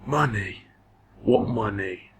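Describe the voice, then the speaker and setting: A man playing a menacing, greedy, hard-drinking stepfather with a husky, rough voice and a slow, sneering manner. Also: lowish voice, heavily acted.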